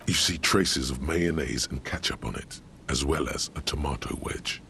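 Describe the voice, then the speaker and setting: An actor voicing a grave, world-weary inner voice that narrates a detective's thoughts in slow, literary, ominous phrases. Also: male voice, bright, forceful tone, slight background noise, full character voice. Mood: dry, grave. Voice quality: deep, gravelly. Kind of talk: scripted dramatic game narration.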